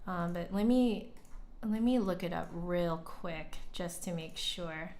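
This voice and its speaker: A woman's voice: fairly low pitch, fairly even intonation, takes it slowly, darkish tone. A woman hosting a livestream, talking casually and reflectively with her chat in an informal, conversational way. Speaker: livestream host and flutist.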